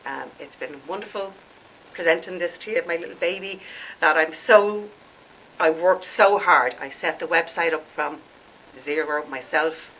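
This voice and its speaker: Low bright-voiced woman, stuffed up. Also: unhurried, slight background noise, poor audio. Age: late 40s. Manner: chatty, rambling, laughs at herself. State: congested, stuffed up. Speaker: skincare vlogger. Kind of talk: casual chat to camera.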